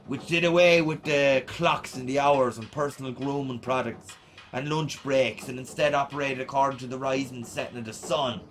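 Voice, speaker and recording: A man's voice: mid-range voice, lightly acted. An Irish man playing a sardonic, slangy teenage punk boy reading out his zine in a radio play. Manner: irreverent, slangy, sweary.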